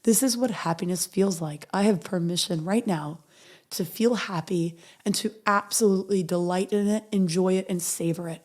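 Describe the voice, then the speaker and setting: A woman hosting a motivational podcast, her voice raspy and hoarse as she recovers from being sick. She talks earnestly and reads affirmations softly and slowly. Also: lowish voice. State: hoarse from recovering after illness. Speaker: podcast host.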